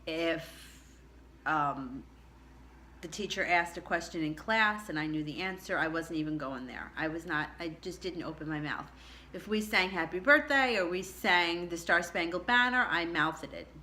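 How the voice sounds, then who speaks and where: A middle-aged woman with a low contralto voice telling a candid personal story in a chatty way, laughing often. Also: moderate pace, bright, forceful tone. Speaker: vlogger.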